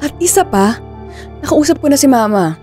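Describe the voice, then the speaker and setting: A woman's voice: sits high, expressive, fairly quick, a little mellow, soft background music. A woman with a Filipino accent playing a desperate, stubborn young woman in a radio drama. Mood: desperate, heated. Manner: insistent, pleading, defensive.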